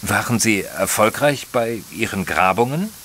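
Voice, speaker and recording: An actor playing an educated, observant gentleman who narrates his own story in a formal, literary audio drama style. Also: fairly low pitch, expressive, measured pace, a little hiss or hum. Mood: polite, hesitant. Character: male narrator Mister Tristram, educated, observant, easily flustered gentleman. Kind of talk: scripted first-person audio drama narration.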